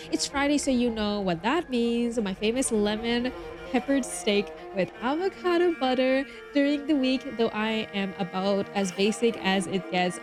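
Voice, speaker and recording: Female game streamer, higher voice, expressive reader, bubbly asides to chat, music behind her. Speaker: streamer and host. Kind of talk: game livestream, reading story text aloud with chat asides.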